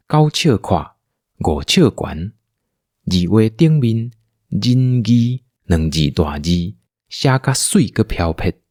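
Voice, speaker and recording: Man, Taiwanese accent, low voice, animated storytelling, dry studio sound. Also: no room sound. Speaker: narrator. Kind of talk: scripted storytelling narration for an audio drama.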